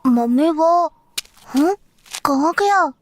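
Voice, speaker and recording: A woman's voice: high voice, lively intonation, takes it slowly, slight background noise. A woman voicing an earnest, insistent young boy with a childlike way of talking in an audio horror drama. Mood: defensive.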